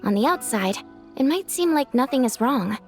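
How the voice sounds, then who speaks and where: A woman playing a clinic worker who explains things calmly in a game. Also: high voice, normal speed, faint music behind. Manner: calm and explanatory. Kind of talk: video game voice acting.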